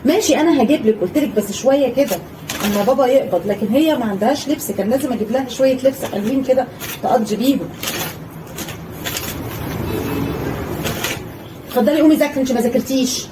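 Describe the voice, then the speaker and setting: A young woman with an Egyptian Arabic accent playing a fussy stepmother in a home-made family skit, with high, rapid, exclamatory talk. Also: background noise, natural voice. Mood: scolding. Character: fussy stepmother, doting then scolding. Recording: voice a little far from the mic.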